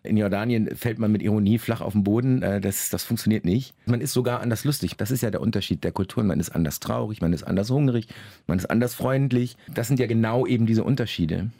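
A man with a low voice and a gravelly edge talks in a dark, mellow tone. He answers interview questions reflectively, often pausing and restarting his sentences. The sound is dry and close. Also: no room sound. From Germany, Lower Saxony. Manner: reflective, measured, with many fillers and restarts. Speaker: novelist, interview guest.

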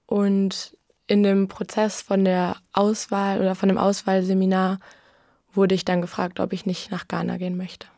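Young woman with a flat voice. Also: mid-range voice, moderate pace, gravelly, dry recording, clean studio sound. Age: 20s. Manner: flat, plain, casual. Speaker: student, former volunteer. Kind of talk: interview answers.

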